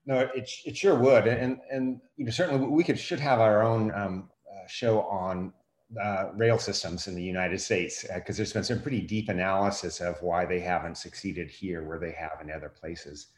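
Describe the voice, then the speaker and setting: A man's voice: low-pitched, fairly even intonation, normal speed. A man giving his opinions in a casual discussion, conversational and emphatic. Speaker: podcast host, teacher. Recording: echoey room.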